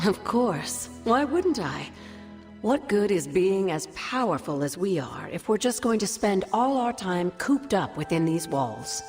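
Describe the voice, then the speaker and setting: Wry sorceress mentor in a reverberant hall. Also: female voice, mid-range voice, big pitch swings, a little slow, darkish tone, faint music behind. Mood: wry, fond. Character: wry older sorceress mentor, fond and knowing. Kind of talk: game cutscene dialogue, expository storytelling.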